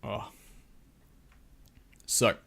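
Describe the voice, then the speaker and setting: A man's voice: drawn-out words, sharp tone. A man streaming a game late in a long session, tired and losing his voice, muttering wry asides to himself and his chat. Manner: muttering, self-deprecating, talking to himself and chat. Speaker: let's play streamer. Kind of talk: casual gameplay commentary.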